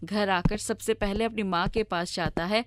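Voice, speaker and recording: A woman's voice: medium pitch, steady tone, moderate pace, background noise. A woman reading scripted storybook narration as the storyteller.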